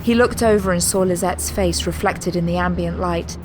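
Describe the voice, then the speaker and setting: A woman's voice: on the low side, moderate pace. A woman reading scripted, dramatic story narration for a sci-fi audio drama. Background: music.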